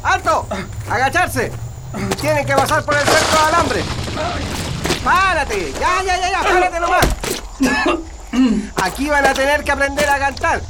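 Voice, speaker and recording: A man's voice: extremely high pitch, expressive, measured pace, pauses often, heavy background noise. A man playing a brutal, menacing Chilean military officer in a radio drama, barking threats and orders at prisoners. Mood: harsh, threatening.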